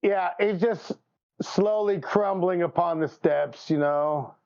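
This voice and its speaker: High creaky man, dull mic. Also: takes it slowly, darkish tone, no echo. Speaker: player in tabletop game. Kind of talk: casual improvised role-play chat. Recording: dull, slightly lo-fi microphone.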